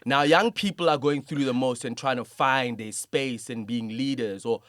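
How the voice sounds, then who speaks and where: A man's voice: medium pitch, moderate pace, fairly bright tone. A man hosting a casual conversational interview in an encouraging, affirming manner. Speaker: interviewer, host.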